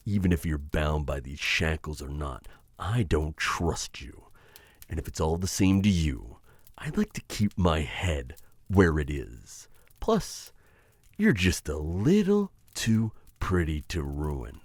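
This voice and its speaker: Witch hunter, male actor, ASMR roleplay. Deep register with lively swings, intimate taunting menace, inner conflict underneath. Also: no room sound, full character voice. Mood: wary threatening. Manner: slow, halting, intimate taunting address to listener.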